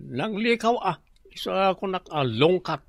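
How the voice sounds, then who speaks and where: An easygoing Ilocano drinking buddy in a radio comedy-drama. His voice sits high and moves around animatedly, recorded dry and close. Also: animated, moderate pace, vocal fry, no room sound. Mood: casual, teasing. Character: easygoing male drinking buddy, urging another round.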